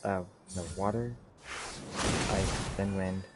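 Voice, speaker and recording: A man's voice: low voice, fairly even intonation, slow pace, over loud music. A man giving casual gameplay commentary, thinking aloud in short muttered fragments. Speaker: video game streamer. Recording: clearly noisy background.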